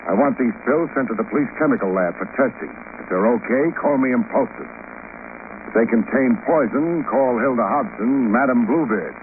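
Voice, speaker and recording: An actor with an American accent playing a wry, hardboiled private detective in a sardonic, clipped old radio-drama style. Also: male voice, mid-range voice, lively intonation, brisk, natural voice. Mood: brisk narration. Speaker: lead actor, detective narrator. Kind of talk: 1940s-50s American radio detective acting. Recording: noisy, narrow-band old radio recording.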